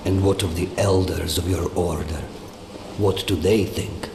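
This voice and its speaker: A man's voice: sits low, steady tone, a little slow. An actor playing an ancient, imposing guardian spirit of a lake in a fantasy game, stern and solemn as he questions. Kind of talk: dramatic video game acting. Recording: echoing voice over clear background noise.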